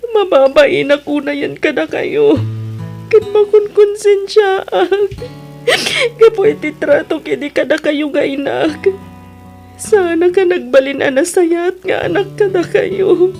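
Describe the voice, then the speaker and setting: A remorseful daughter from an Ilocano melodrama, acted by a woman. She pleads tearfully in a very high voice over faint music. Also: slow, a little mellow, heavily acted. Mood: weeping, remorseful. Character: remorseful grown daughter begging her mother for help. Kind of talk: Ilocano radio drama acting.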